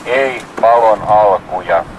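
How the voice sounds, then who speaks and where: Low-voiced man dictating radio messages over noise. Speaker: radio operator in an emergency exercise.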